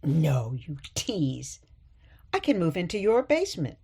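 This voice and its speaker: A woman playing a sweet, gently stubborn elderly mother in a radio play, coaxing and chiding softly. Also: low-pitched, sing-song, unhurried, breathy edge, full character voice.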